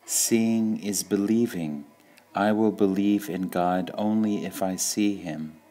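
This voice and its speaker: A man reading spiritual sayings aloud in a calm, measured way, pausing between phrases. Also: low voice. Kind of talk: slow meditative reading of quotations.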